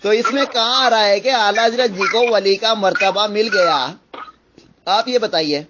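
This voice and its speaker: A man's voice: sits high, measured pace. A man debating religion on a recorded phone call, insistently repeating his questions. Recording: noisy, dull phone call recording with clicks.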